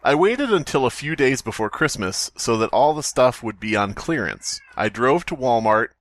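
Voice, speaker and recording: Guy cohosting a comedy podcast, really animated, jokey riffs, dry close sound. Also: mid-range voice, moderate pace, no room sound. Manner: jokey, playful riffing. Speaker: podcast co-host. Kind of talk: casual comic banter over a read-aloud story.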